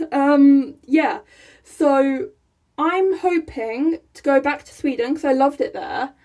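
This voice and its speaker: A young woman from England, chatting casually to her camera in a small room, excitable and laughing often. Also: high-pitched, slow pace. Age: young adult. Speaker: vlogger. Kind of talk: casual vlog chat. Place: small room by a rainy window, indoors.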